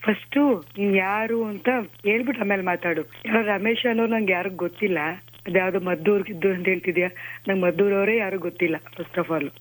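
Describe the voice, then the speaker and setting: A woman speaks very quickly at an average pitch. She argues over the telephone, curt and impatient, scolding the person on the other end. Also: fairly even intonation, poor audio. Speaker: prank call recipient. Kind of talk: argumentative phone conversation. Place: on a telephone call.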